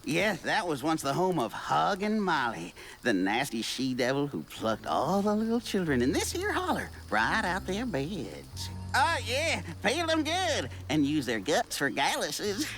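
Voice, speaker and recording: Wildly swooping, slow old Southern huckster. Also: mid-range voice, wildly swooping pitch, fairly bright tone, soft background music, heavily acted. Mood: sly, folksy. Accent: rural Southern US. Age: older. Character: folksy, sly old rural holler huckster called Itchy. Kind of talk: video game character acting.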